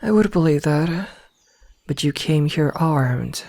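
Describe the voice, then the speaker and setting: A woman performs a female werewolf omega for an ASMR fantasy roleplay. She speaks at a slow, deliberate pace in formal phrases, commanding and possessive with a cool threat underneath. The sound is dry, with no room around her. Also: deep voice, lively intonation, mellow, no room sound, heavily acted. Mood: stern, measured. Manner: slow, deliberate, formal, with breathy whispered asides.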